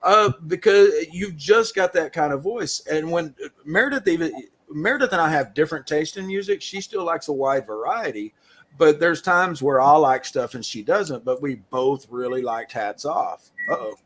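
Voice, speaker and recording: Chatty folksy host. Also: male voice, on the high side, animated, moderate pace, a bit bright. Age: middle-aged. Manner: chatty, easy-going, folksy. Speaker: podcast host. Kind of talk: casual interview chat.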